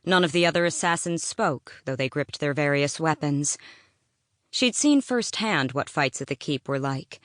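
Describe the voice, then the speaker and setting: A woman reads fiction as an audiobook narrator. Her voice is low, and she tells the story with drama. The recording is dry, with very little room sound. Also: a bit bright, no echo.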